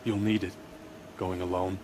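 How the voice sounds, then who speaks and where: Male actor as a wounded warrior, earnest, low voice, game cutscene. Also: level tone, moderate pace, noisy background, natural voice. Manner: earnest, urgent, solemn.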